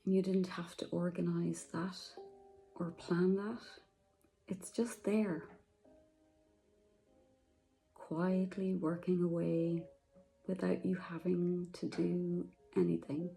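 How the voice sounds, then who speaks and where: A woman guiding a meditation, speaking softly and slowly with long pauses. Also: on the low side, level tone, gravelly, soft background music, rough recording. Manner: slow, soft, soothing, with long pauses. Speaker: meditation guide. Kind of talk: guided meditation.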